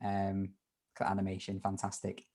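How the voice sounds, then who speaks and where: A man's voice: low voice, monotone, a little slow, a little mellow. A man hosting a university webinar Q&A on a video call, upbeat and informal with lots of fillers and restarts. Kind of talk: live webinar Q&A.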